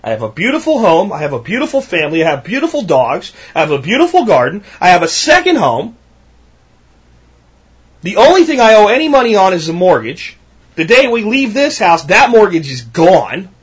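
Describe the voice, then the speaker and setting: A man's voice: high voice, huge pitch swings, brisk, breathy edge, muffled recording. A man in his thirties hosting a solo podcast, talking in an emphatic, ranting way that repeats points for effect. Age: 30s. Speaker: podcast host.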